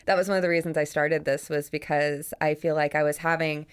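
Podcast host, young woman with a low voice, casual, effusive and informal in conversation. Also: flat delivery, fairly quick, smooth. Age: young adult. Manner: effusive, informal with slang and mild swearing. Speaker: photographer.

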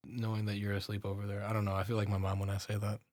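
Loud, fast young male co-host, casual and sweary, dry close sound. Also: on the low side, completely flat delivery, no room sound. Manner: loud voice, heavy swearing, slangy. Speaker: podcast co-host. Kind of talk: casual chat.

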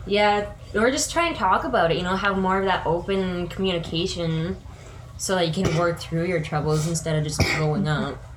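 A woman co-hosting a casual chat, her voice raspy, talking frankly and laughing often. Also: on the low side, normal speed, slight background noise, rough recording. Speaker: podcast co-host.

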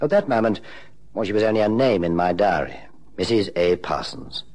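An actor playing a suave private investigator in an old-time radio drama, measured and polite. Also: male voice, fairly low pitch, lively intonation, darkish tone. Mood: measured, dramatic. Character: suave male private investigator telling his case.

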